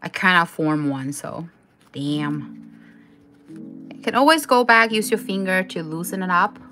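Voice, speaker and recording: A woman's voice: fairly low pitch, lively intonation, measured pace, bright, forceful tone, faint music behind. A woman from Taiwan, a native Chinese speaker with a Taiwanese accent, hosting a casual live crochet tutorial with informal step-by-step instructions. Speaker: craft tutorial host.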